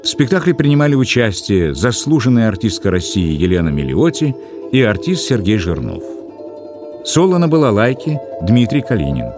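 A man's voice: lowish voice, big pitch swings, moderate pace, music in the background. A man reading scripted radio credits in a formal announcer style.

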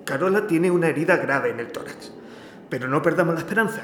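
An actor from playing a measured, reassuring male hospital doctor in an audio drama. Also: a little high, lively intonation, a bit bright. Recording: echoey room, faint noise.

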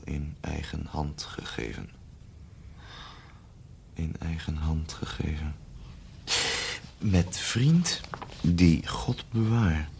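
An actor playing a brooding young poet in a radio play, speaking softly and singing verse to guitar. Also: male voice, gravelly, slight background noise, full character voice. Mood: musing. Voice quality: soft, low-spoken when writing, sings verse to guitar. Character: poet Bredero, man, young adult, brooding, wry. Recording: music playing behind voice. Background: guitar music.

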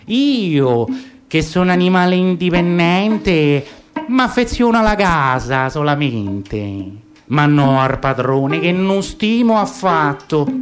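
A man voicing a slow, cynical, independent male cat. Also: high-pitched, heavily acted. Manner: slow, dry. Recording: guitar music behind.

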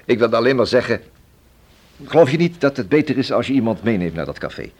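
An actor playing an earnest, cautious police inspector in a Dutch radio drama, with a hesitant but emphatic manner. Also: male voice, mid-range voice, big pitch swings, fast, darkish tone. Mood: hesitant, concerned. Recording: radio play with faint background noise.

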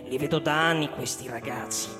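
An actor playing a suspicious old school caretaker in a radio drama, insistent and repetitive, muttering haltingly. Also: male voice, fairly high pitch, steady tone, natural voice. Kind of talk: Italian radio drama acting. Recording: long reverb, loud music underneath. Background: loud music.

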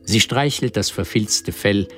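A man's voice: fairly low pitch, a little slow, a bit bright, soft background music. A man reading literary narration as the narrator of a German radio drama.

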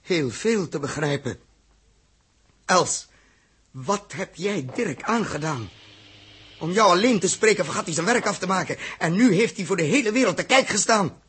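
An actor playing a stern, commanding spaceship captain in a theatrical, clipped 1960s radio drama style, with a husky voice. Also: male voice, sits high, lively intonation, moderate pace, a bit bright, heavily acted. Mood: urgent, commanding. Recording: old 1960s radio recording.

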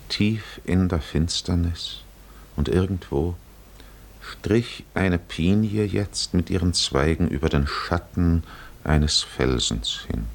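A man as the solemn, poetic narrator of a 1950s German radio drama, measured and dramatic. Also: deep voice, creaky, full character voice. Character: solemn poetic storyteller of a sea voyage.

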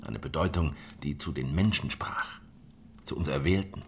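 An actor playing a weary, distrustful survivor of a crushed peasant revolt in a German radio drama, brooding and bitter. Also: male voice, very deep voice, moderate pace, slight background noise. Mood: brooding, reminiscing. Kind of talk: German radio drama dialogue and first-person narration. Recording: music behind the voice.

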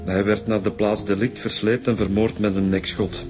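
An actor with a Flemish accent playing a matter-of-fact homicide detective in a naturalistic radio drama, reporting with small hesitations. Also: male voice, lowish voice, flat delivery, moderate pace, natural voice. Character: male homicide detective, matter-of-fact, briefing his colleagues. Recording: music behind the voice.

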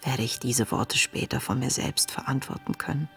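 An actress playing an earnest, searching woman in her late twenties, reading her wartime diary in a breathy, introspective, measured way for a radio play. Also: a bass voice. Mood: reflective. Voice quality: breathy, often dropping toward a whisper. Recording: music under the voice.

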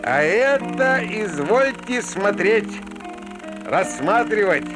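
High-pitched, swooping fairground showman. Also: sing-song, over music, heavily acted. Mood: showy, playful. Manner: drawn-out, declamatory, playful sing-song. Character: theatrical male fairground peepshow barker telling rhymed folk tales. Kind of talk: rhymed Russian folk-tale showman patter.

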